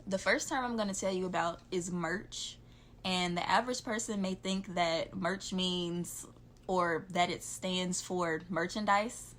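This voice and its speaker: A woman's voice: fairly low pitch, fairly even intonation, a little slow, a bit bright, a little hiss or hum. A young woman from the south side of Chicago, with a Chicago accent, chatting casually as she explains local slang. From south side of Chicago, USA.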